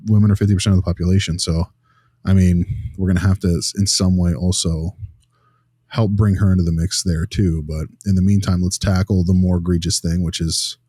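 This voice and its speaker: A man's voice: low-pitched, little pitch movement, a little fast, mellow, husky, dry recording, clean studio sound. A man playing an earnest behavior consultant in an improvised role-play, reasoning aloud in a casual, rambling way. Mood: earnest, measured. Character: earnest male behavior analyst consulting on a child's case.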